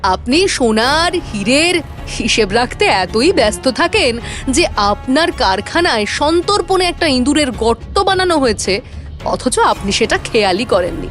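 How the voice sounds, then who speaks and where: A woman playing an assertive, sharp-witted detective's associate in a cartoon mystery, explaining things firmly. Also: high-pitched, big pitch swings, measured pace, faint music behind, heavily acted. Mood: assertive, explanatory. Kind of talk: animated detective story acting.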